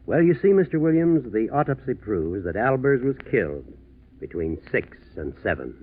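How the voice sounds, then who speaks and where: Tinny 1940s radio drama: a shrewd American district attorney. His low voice rises and falls expressively as he probes methodically, with deceptive casualness. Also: sing-song. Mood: shrewd, pointed. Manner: measured, probing, deceptively casual.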